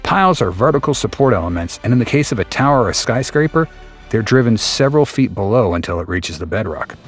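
A man reading a scripted documentary narration in a steady, explanatory way over a close voice-over mic. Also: lowish voice, quick pace. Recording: faint music bed behind the voice.

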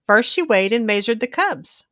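A woman with a fairly high voice narrates a children's story. Her delivery is very melodic and animated, shaped for young listeners, in a dry, close recording. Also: moderate pace, no room sound. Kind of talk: expressive scripted read-aloud narration.

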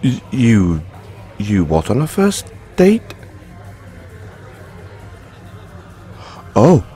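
Actor as a dorky, slow-talking British flirt. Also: male voice, mid-range voice, wildly swooping pitch, dark tone, soft background music. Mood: bashful, hopeful. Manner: halting, giggly, bashful delivery. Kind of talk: audio roleplay acting.

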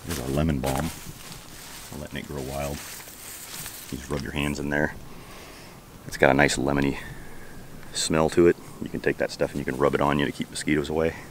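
A man giving a casual walking tour of his backyard garden outdoors, chatty and relaxed as he points things out on a handheld camera. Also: extremely low pitch, fairly quick, pauses often, noisy background. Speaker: homestead vlogger. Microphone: handheld camera held while walking.

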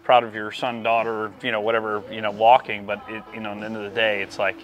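A middle-aged man co-hosting a casual baseball talk, conversational and often trailing off softly, on a lapel mic in a cluttered equipment room. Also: fairly low pitch, little pitch movement, fast, dull audio. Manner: conversational, trailing off into softer asides. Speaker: baseball program coach and co-host. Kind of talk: casual podcast chat.

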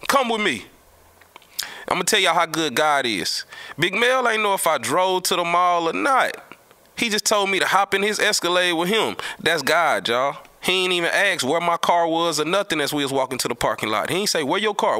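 A man's voice: a little high, bright, forceful tone. A man with a Southern US accent telling a story casually and repeating himself, in slangy, profane language. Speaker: host telling stories from the music business. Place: small indoor studio at a table. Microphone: studio mic on a boom arm, close.

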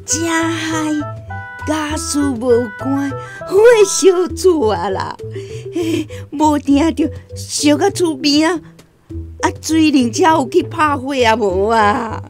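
A woman acting a flustered, anxious elderly Taiwanese grandmother in a radio drama, lamenting in a drawn-out way. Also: high voice, lively intonation, music in the background. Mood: alarmed. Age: older.

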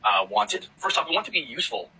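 Man giving blunt advice, rapid and very bright, slightly raised pitch. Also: sing-song, vocal fry, breathy edge, low-quality recording. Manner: blunt, advising. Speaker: dating advice giver. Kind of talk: social media clip.

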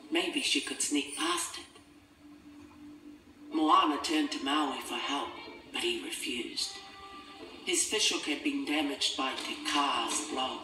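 A man reading a scripted children's storybook as its narrator. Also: a little high. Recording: echoey, distant, music behind.

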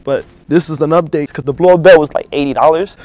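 A man's voice: on the high side, fast, darkish tone, low-quality recording. A young man in a mattress store, mumbling sleepily in slangy casual banter. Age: young adult. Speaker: prank video creator.

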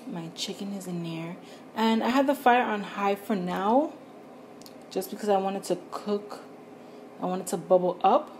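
A woman's voice: mid-range voice, a little slow, darkish tone, slight background noise. A young woman chatting straight to her viewers while she cooks in her home kitchen, recorded on a phone. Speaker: vlogger. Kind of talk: casual cooking vlog. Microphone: phone camera.

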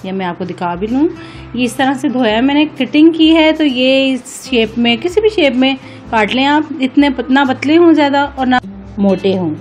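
A woman's voice: high-pitched, expressive, normal speed, soft background music. A woman hosting a home cooking vlog, casually narrating a recipe step by step and politely instructing viewers.

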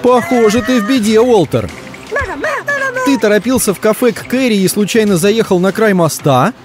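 A man's voice: high voice, normal speed. A man narrating a children's cartoon in a cheerful, encouraging way. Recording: music behind the voice.

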